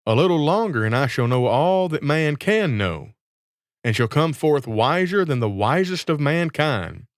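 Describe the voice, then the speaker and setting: A man's voice: on the high side, big pitch swings, measured pace, dry recording, studio quality. A man voicing a crafty fairy tale gardener who poses as a grand sage, proclaiming in archaic, grandiose speech.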